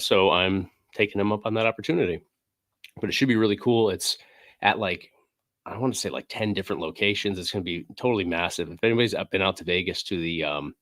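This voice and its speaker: A man's voice: low voice, fairly bright tone, no echo, studio quality. A man hosting a casual livestream from his game room, talking loosely with lots of fillers while still getting over a cold. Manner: rambling, filler-heavy, reads chat aloud. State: recovering from a cold, sniffling. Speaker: livestream host. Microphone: desk microphone.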